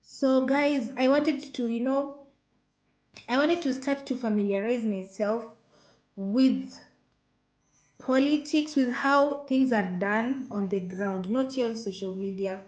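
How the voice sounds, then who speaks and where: A woman's voice: on the high side, darkish tone, poor audio. A woman from Kenya with a Kenyan accent, chatting casually with viewers on her live stream and often repeating herself. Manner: slow, repeats phrases, reads out viewer comments. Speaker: livestream host.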